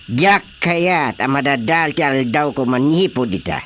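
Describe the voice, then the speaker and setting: An actor playing a proud, excitable older Filipino father and village chairman in comedic radio drama, with theatrical, exclamatory delivery. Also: mid-range voice, a little slow. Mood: eager, demanding. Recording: faint background noise.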